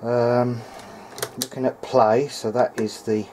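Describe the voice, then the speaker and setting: Guy doing a casual repair video at his bench, talks slow n unsure, bit of room noise behind. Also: mid-range voice, flat delivery, mellow. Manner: hesitant, tentative, thinking aloud. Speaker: hobbyist repairer presenting a video diary. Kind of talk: casual hands-on repair commentary. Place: indoors at a workbench.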